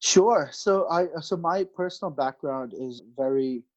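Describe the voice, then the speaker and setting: A man's voice: fairly high pitch, a little slow, muffled recording. A man in his late thirties from Pakistan, a market strategist answering interview questions in an explanatory way, with frequent restarts and fillers.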